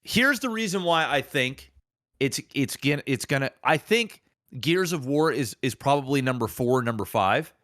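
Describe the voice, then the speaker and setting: A man's voice: mid-range voice, animated, normal speed, a bit bright, no room sound. Emphatic, slangy male podcast host, dry sound. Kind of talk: casual opinionated podcast chat.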